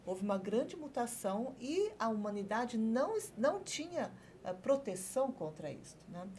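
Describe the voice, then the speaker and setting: A woman's voice: on the high side, very animated, darkish tone, slight background noise. Woman doctor explaining flu vaccines. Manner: measured, searching for words, many fillers. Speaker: doctor guest. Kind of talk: explaining health topics on a TV talk show.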